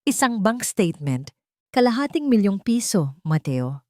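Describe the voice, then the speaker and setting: A woman voicing a cold, calm security chief who taunts and menaces in an audio drama. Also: medium pitch, no echo, clean studio sound, pitched above the performer's own voice. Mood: mocking. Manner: unhurried, insulting, emotionless. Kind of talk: dramatized audio story dialogue.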